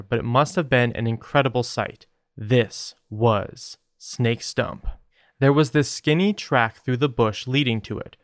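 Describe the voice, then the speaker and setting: A man's voice: mid-range voice, no room sound. Wry man reading listener mail, dry. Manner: wry, joking asides. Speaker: monthly guest co-host.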